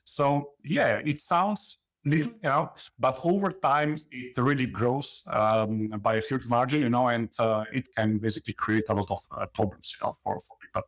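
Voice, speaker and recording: A man from Slovenia, a guest in an interview, explaining investing in a halting way full of fillers and "you know". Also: mid-range voice, fairly bright tone. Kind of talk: conversational interview.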